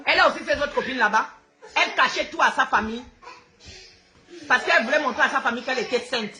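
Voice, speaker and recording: A woman's voice: high-pitched, fast talker, pushed and bright. A woman with a Gabonese accent, a native Fang speaker, ranting live to her followers in an outspoken, combative, repetitive way. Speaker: social media host.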